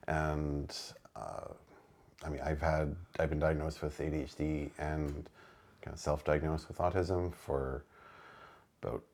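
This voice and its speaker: Man, 40s; extremely deep, slow, hesitant. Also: level tone, a little mellow. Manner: hesitant, with many fillers. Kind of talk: interview self-introduction.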